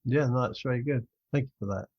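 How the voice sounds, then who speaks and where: A man's voice: medium pitch, quick pace, husky. A man hosting a podcast, asking questions in a casual interview style. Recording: dull, lo-fi audio.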